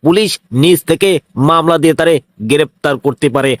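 A man's voice: a little high, fairly quick, no echo, lightly acted. A man voicing a brash, bullying cartoon police inspector, blunt and threatening, with a Bangladeshi regional Bengali accent. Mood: accusing. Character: brash, bullying male police inspector, tough and self-righteous. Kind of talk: comic cartoon dialogue.

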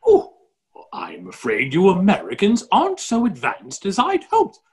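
A middle-aged actor playing a genial, rambling, exclamatory upper-class duke in a stage play reading. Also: male voice, high-pitched, wildly swooping pitch, measured pace, exaggerated voice. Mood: genially vague. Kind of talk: stage play reading over video call.

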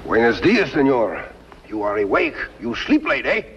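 A jovial western character, speaks with a hugely swinging pitch. The voice sounds distant and echoing. It is an old, muffled television recording. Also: male voice, wildly swooping pitch, normal speed, a bit bright, slight background noise. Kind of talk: 1950s television western acting.

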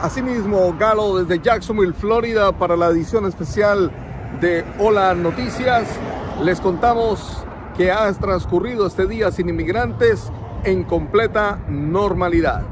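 A man doing a news field report outdoors by a busy road, asking brisk questions. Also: sits high. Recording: street traffic noise.

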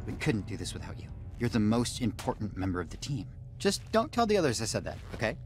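An actor playing an insecure, cocky toad team leader in a cartoon game, self-important and fretful. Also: male voice, on the low side, huge pitch swings, fast talker, soft background music. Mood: confiding. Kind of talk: comic cartoon game acting.

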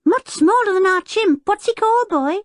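A woman voicing an excitable, brash small circus boy in a children's storybook. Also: very high-pitched, normal speed, no room sound, clean studio sound, pitched above the performer's own voice. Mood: delighted. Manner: excitable, brash, rustic phrasing.

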